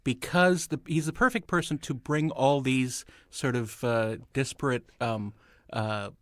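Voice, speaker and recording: A man's voice: mid-range voice, a little slow, fairly bright tone, dry recording. Man in dry, casual panel talk. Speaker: podcast panelist. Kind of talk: casual opinionated discussion.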